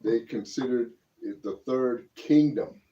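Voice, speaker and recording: A man's voice: mid-range voice, measured pace, mellow. A man co-hosting a political talk show, giving casual, emphatic and opinionated commentary in a rambling way, often repeating words. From born in Germany. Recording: muffled lo-fi audio, distant microphone.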